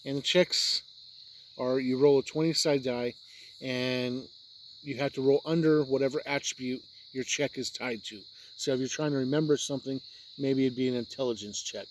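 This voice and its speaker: A man's voice: mid-range voice, steady tone, normal speed, a little hiss or hum, low-quality recording. A man giving an unscripted explanatory review, talking plainly step by step and sometimes repeating himself. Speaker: tabletop game reviewer.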